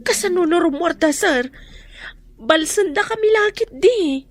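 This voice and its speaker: A woman's voice: very high-pitched, animated, unhurried. A woman with a Filipino accent playing an earnest young daughter in a radio drama. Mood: hesitant.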